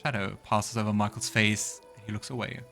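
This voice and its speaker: A man's voice: on the low side, little pitch movement, fairly quick, creaky, a bit bright, faint music behind. A man hosting a let's play, mixing casual commentary with narration of the game's text. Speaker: let's play host reading game dialogue.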